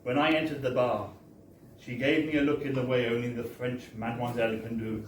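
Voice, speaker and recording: A man's voice: medium pitch, flat delivery, brisk. A man giving a wry, theatrical, mock-formal reading of a comic story at a live event. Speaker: reader of a humorous book. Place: bar, indoors, at a live book launch. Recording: echoey live bar recording, distant, faint noise.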